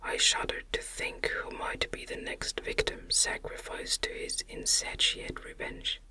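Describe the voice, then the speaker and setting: Slow, soft ASMR whispering narrator. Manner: soothing, measured, deliberate phrasing. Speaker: audiobook reader.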